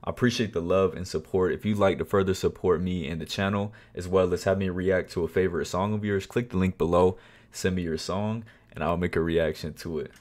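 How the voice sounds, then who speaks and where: A young man in his twenties hosting a music reaction video, talking casually and softly at night, excited about the song. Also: deep voice, brisk. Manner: enthusiastic, softly spoken at night. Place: small bedroom, indoors. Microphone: wired earbuds mic, webcam framing. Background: song playing faintly.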